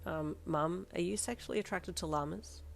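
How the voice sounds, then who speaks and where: A woman voicing a sulky teenage girl who speaks flatly and deadpan, flaring into angry outbursts. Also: fairly low pitch, moderate pace, gravelly. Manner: flat and muttered, flaring into shouting.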